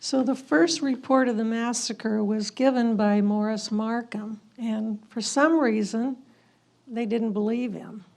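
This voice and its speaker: A woman's voice: a little high, fairly even intonation, measured pace, creaky, darkish tone. A woman presenting local history in an informal, explanatory way.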